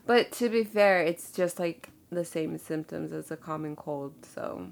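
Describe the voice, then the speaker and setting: A young woman chats casually as a podcast co-host, her voice a little low and gravelly at the edges. She sounds stuffy and nasal from a cold and fills her talk with likes and ums. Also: measured pace. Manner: chatty, laughing, filler-heavy. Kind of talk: casual chat.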